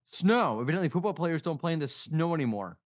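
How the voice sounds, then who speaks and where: A man on a podcast as a regular co-host, teasing the others in a dry, deadpan way. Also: on the high side, fast. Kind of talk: casual podcast banter.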